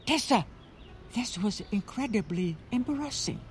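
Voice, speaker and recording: A woman's voice: a little high, lively intonation, normal speed, slight background noise, lightly acted. A woman playing a stern, disapproving middle-aged relative in an audio drama, curt and scolding. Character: stern, disapproving female relative of a young soldier.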